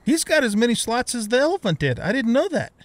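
A man's voice: extremely high pitch, big pitch swings, quick pace, no echo. A middle-aged man giving casual let's play commentary on a video game, thinking aloud and muttering to himself.

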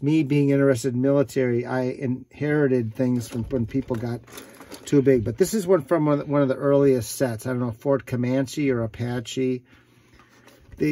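A man's voice: mid-range voice, dark tone, no echo. An older man in a basement, recalling his childhood toys hesitantly with ums and repeated words. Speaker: homeowner showing his toys. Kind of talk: casual chat. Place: basement full of old toys.

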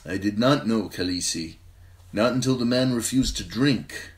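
Man doing a grim protective knight, deep, terse, steady, storybook warrior. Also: moderate pace, close to an everyday voice.

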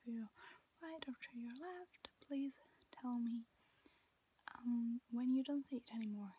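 A young adult woman whispers softly and gently gives instructions in an ASMR medical exam roleplay. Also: a little high, measured pace, a little hiss or hum. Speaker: ASMR performer playing a medical examiner. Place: small home bedroom, indoors.

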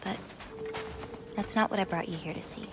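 A woman voicing a gentle, nurturing, self-possessed teenage girl in a fantasy video game, with a soft, coaxing manner. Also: mid-range voice, fairly even intonation, normal speed, natural voice. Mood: calm, explaining. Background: music.

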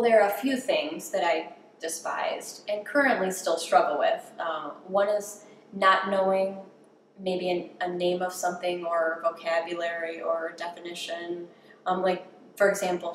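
Candid woman in a roomy home kitchen. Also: lowish voice. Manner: candid, self-deprecating, laughs easily. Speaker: interviewee, home cook. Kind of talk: casual interview while cooking. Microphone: camera mic at a distance. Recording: roomy kitchen sound with faint background hum.

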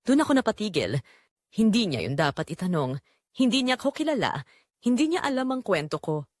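A woman performs a neglected wife in her forties, wistful and conflicted. Her pitch moves in lively swings as she confides in short dramatic phrases. The recording is dry and close, in the style of an audio drama. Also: fairly quick, dry recording. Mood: conflicted, longing. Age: 40s. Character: Rosa, lonely neglected forty-three-year-old wife, wistful and conflicted. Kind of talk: first-person dramatic audio story narration.

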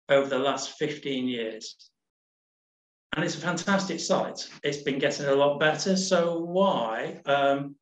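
Middle-aged man, relaxed talk over slides, echoey webcam audio. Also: fairly high pitch, muffled recording. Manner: relaxed, rambling, many restarts and ums. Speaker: nature reserves manager. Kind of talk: casual presentation over slides. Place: home room on a video call.

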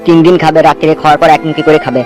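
A man's voice: fairly high pitch, fairly even intonation, racing, natural voice. A man voicing brash, lecturing village men in a comedy cartoon, with a blustery manner. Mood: admonishing. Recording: music behind the voice.

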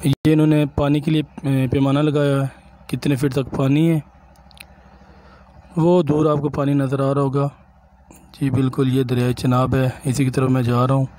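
A man, a village vlogger from Punjab, calmly explaining sights to viewers while he walks outdoors and films on his phone. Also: medium pitch, normal speed, slight background noise. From Punjab, Pakistan. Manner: calm, explanatory, addresses viewers directly. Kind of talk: walking tour narration.

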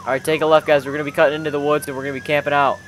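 A man's voice: on the high side, level tone, racing, background noise. A young man commentating on gameplay casually with friends, exclamatory and full of slang like bro and dude. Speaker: gaming video host.

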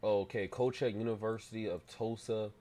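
A man's voice: fairly low pitch, steady tone, moderate pace, mellow. A man in his thirties chatting casually on a live stream as a prank caller. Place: small dim gaming room.

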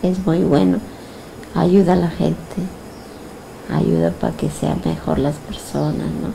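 An older woman lying down after a hypnosis session, answering slowly and hesitantly, with restarts and soft agreement. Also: fairly low pitch, steady tone, gravelly, a little hiss or hum, poor audio. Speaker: hypnosis client. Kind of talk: casual talk after a session. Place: lying down in an indoor therapy room.

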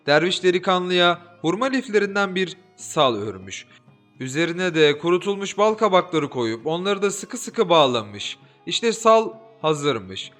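Lively male storybook narrator over music. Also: sits high, measured pace. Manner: lively, expressive reading with voiced dialogue. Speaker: audiobook narrator. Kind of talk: storybook narration with character voices. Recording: soft background music.

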